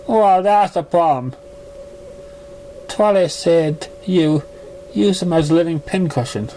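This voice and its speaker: Man voicing an earnest, halting young pony. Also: a little high, mellow, a little hiss or hum, poor audio. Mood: concerned.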